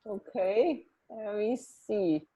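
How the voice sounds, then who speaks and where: A woman co-hosting a radio show in casual, halting, giggly banter. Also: mid-range voice, dark tone, slightly rough, dull audio. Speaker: radio co-host.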